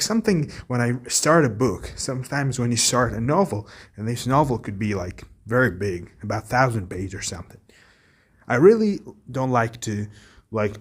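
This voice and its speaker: A man whose first language is Arabic speaks in a relaxed, reflective monologue. His voice is husky and of middling pitch, and he pauses, backtracks and fills gaps as he searches for words. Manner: thoughtful, halting, with many restarts and fillers. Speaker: vlogger. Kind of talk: casual monologue.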